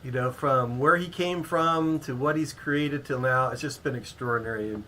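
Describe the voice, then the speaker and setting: Bright, eager male co-host. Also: medium pitch, moderate pace. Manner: rambling, joking, self-correcting. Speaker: co-host, coach. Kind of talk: casual livestream chat. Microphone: headphones.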